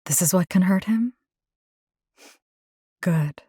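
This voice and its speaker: A woman's voice: on the low side, slow pace, mellow, breathy edge, dry recording, studio quality. An actress playing a tough, wisecracking young woman engineer in a video game, with a Puerto Rican accent, slangy and dropping in Spanish words. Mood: focused. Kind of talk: video game character voice lines.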